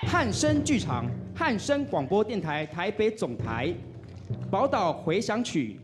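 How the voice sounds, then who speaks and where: A man with a Taiwanese accent presenting on an awards stage in lively, playful banter and announcements. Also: high voice, a little slow, loud music behind the voice. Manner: excitable, playful. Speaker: awards presenter and radio host.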